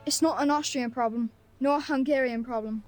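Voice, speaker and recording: A woman's voice: high voice, brisk, faint music behind, close to an everyday voice. A woman voicing a sassy, streetwise boy who mocks the rules with a sly, cynical delivery in a game. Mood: sardonic. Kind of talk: game voice acting.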